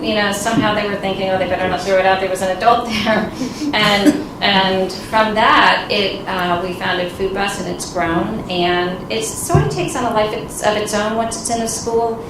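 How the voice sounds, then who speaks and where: A woman, a nonprofit founder, giving an earnest, informal talk on a conference panel. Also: medium pitch. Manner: earnest, informal, with fillers and light laughs. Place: conference room. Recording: echoey room, distant, noisy.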